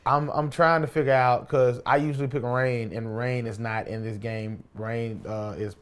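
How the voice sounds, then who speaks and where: A man's voice: mid-range voice, little pitch movement, moderate pace, clean tone. A man in casual conversation on a gaming podcast, talking emphatically and often repeating phrases to make his point. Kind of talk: casual chat while playing a game.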